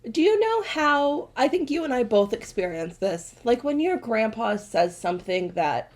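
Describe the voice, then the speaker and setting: A young woman co-hosting a podcast, chatting casually with quick asides and self-corrections. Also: on the high side, big pitch swings. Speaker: podcast co-host. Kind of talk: casual chat.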